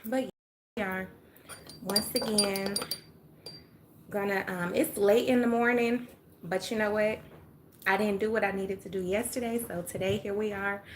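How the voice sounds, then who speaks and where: A woman's voice: mid-range voice, steady tone, measured pace, long pauses, background noise. An older woman from Atlanta with a Southern accent, chatting casually with viewers on a phone livestream, folksy and talkative, sometimes dropping to a murmur. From Atlanta, Georgia. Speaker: livestream host. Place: home room with sunlight.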